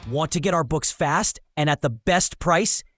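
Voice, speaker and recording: A man reading a scripted promotional announcement in a brisk, promotional manner. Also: a little high, music in the background. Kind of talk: scripted ad read.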